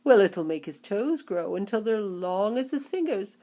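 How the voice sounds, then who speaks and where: A woman's voice: mid-range voice, expressive, moderate pace, dark tone, natural voice. A whimsical, eccentric candy-maker with a breezy, sing-song delivery that bounces around, put on by a woman reading aloud. He sounds cheerful and a bit mad.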